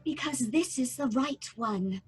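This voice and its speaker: An actress playing a calm, eerily cheerful woman passenger in an old-time radio drama, insistent and serenely unbothered. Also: high voice, unhurried, husky, soft background music, heavily acted. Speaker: cab passenger.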